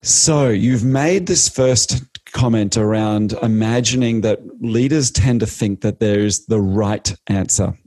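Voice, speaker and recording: A man's voice: lowish voice, unhurried, few pauses, darkish tone, no room sound. A man hosting a podcast interview over a video call, introducing his guest with enthusiasm and stretched-out emphasis. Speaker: podcast host, change consultant.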